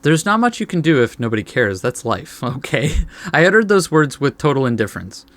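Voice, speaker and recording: A man's voice: medium pitch, big pitch swings, fairly quick, a bit bright. A man reading game text aloud as a let's play host, adding laid-back asides.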